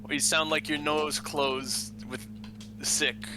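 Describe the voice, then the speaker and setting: A man's voice: a little high, little pitch movement, slow. A man on voice chat tossing out dry, teasing jabs in casual talk. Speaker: gaming friend on voice chat. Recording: noisy voice chat audio.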